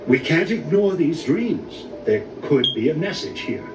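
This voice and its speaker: An old patriarch in an animated film, stern and fatherly. The voice is fairly high and very expressive, a little distant in an echo with music behind. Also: a little slow. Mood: grave, urging.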